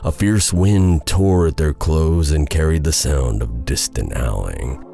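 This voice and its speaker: A man narrating a dark bedtime fairy tale in a deliberate, dramatic storytelling style. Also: very deep voice, gravelly, soft background music.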